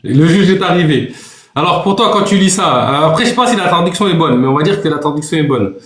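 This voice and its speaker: A man moderating a live online debate, asking questions in a relaxed way. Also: a little high, quick pace, roomy sound.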